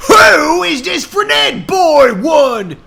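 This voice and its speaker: Man doing a high, bellowing furious dad. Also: very animated, very slow, creaky, full character voice. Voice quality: high, strained shouting. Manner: bellowing, exaggerated outrage. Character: furious overprotective redneck father, loud and menacing.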